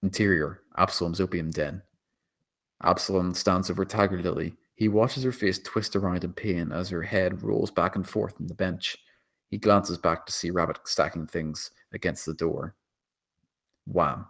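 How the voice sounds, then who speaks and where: A man's voice: low-pitched, steady tone, normal speed, vocal fry, fairly bright tone. A man reading out stage directions as scripted screenplay narration at a table read.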